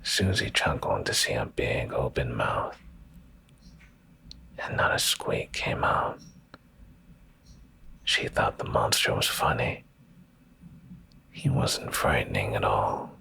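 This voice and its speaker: A man reading a bedtime story in ASMR style, hushed and deliberate with pauses, in a breathy, gravelly low whisper close to the microphone. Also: very animated.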